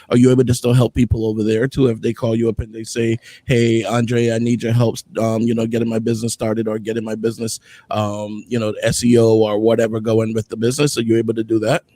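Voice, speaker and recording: A middle-aged man hosting a casual interview over a video call, asking questions in an unhurried, halting way, close to a desktop microphone. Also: mid-range voice, darkish tone, dry recording. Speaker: host, interviewer.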